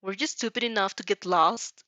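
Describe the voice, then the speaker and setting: A woman's voice: medium pitch, moderate pace, bright, forceful tone, dry recording, close to an everyday voice. Woman reading as a brash, rude teenage boy. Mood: irritated. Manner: blunt, demanding. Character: brash, rude, foul-mouthed teenage boy with hidden concern. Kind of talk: fan fiction dialogue read aloud. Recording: slightly dull, dry recording.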